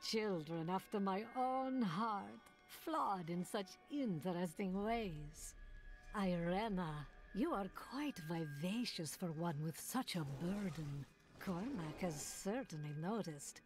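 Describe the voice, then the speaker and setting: Knowing insinuating woman, slightly low, drawn-out delivery, amused, fantasy game, music behind. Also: expressive. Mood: amused, teasing. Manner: knowing, teasing, deliberate. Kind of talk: fantasy video game voice acting.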